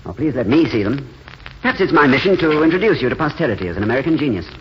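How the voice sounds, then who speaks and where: An actor playing a gentle, world-weary failed writer in a 1940s radio drama, courteous, hesitant and self-mocking. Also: male voice, medium pitch, big pitch swings, racing, lightly acted. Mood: courteous, earnest. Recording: muffled, noisy 1940s radio broadcast.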